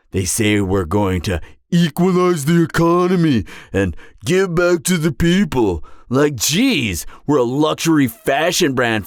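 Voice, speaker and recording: A man's voice: fairly high pitch, no room sound, studio quality. A young man playing a charming, vain businessman supervillain on a blind date in a romantic comedy audio roleplay, talking conversationally and sarcastically to the listener. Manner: conversational, animated, exasperated venting with sarcastic edge.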